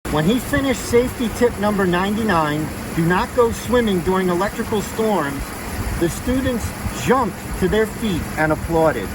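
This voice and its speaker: A man with a high voice reads a children's story with big swings in intonation. The background is clearly noisy. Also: lively intonation, moderate pace. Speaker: police officer reading a children's book aloud. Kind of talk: read-aloud picture book narration. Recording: noisy, vehicle sounds behind.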